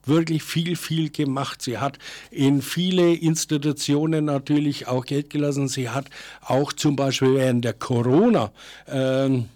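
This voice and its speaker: An older man giving an informal, unscripted monologue as a presenter, halting and digressive, thinking aloud and correcting himself as he goes. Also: mid-range voice, steady tone, darkish tone.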